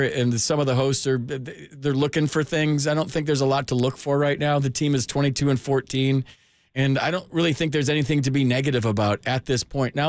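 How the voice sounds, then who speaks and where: A man's voice: medium pitch, no room sound. An American man hosting a sports call-in radio show, chatting casually in an informal, joking, slangy way on a close studio mic. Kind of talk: casual chat with callers. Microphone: close studio microphone.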